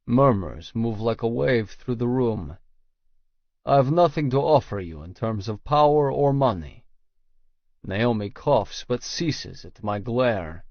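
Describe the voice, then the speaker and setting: A man's voice: medium pitch, animated, slow, no room sound, dull audio. A man reading scripted first-person fiction as an audiobook narrator.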